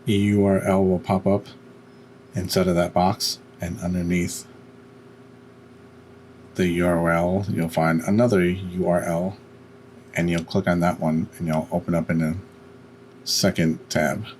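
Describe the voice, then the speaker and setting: Very low-voiced man giving a tutorial. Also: level tone, unhurried, long pauses, darkish tone, a little hiss or hum. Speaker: tutorial host. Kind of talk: step-by-step screen-recorded software tutorial.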